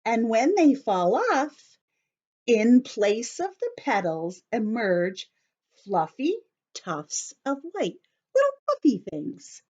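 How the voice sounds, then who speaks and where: A woman reading a storybook aloud to young children in an animated, teacherly way, stopping to explain simply and ask questions. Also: a little high, slow, lo-fi sound.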